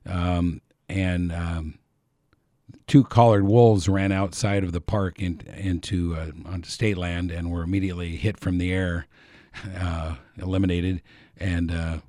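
Deep-voiced rambling Alaskan man. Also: fairly even intonation, unhurried, dark tone, no echo, clean studio sound. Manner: rambling, hesitant, frequent uh and um, chuckling asides. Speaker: radio host. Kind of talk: casual radio talk.

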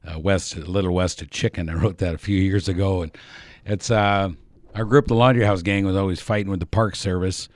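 Deep-voiced rambling Alaskan man. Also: steady tone, fairly quick, no room sound. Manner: rambling, hesitant, frequent uh and um, chuckling asides. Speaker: radio host. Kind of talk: casual radio talk.

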